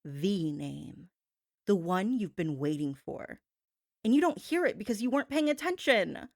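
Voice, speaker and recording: A woman's voice: medium pitch, sing-song, creaky, dry recording, studio quality. A woman delivering a performed gossip-show monologue as a host, sassy and theatrical, leaning on words for dramatic emphasis. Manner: sassy, theatrical, drawn-out emphasis, addresses listener as babe.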